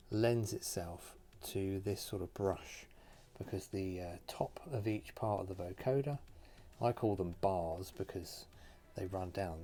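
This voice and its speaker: A man's voice: low-pitched, dark tone. A man giving a casual, unscripted how-to commentary, explaining and thinking aloud as he works. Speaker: host of a hobby craft tutorial. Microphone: phone recording.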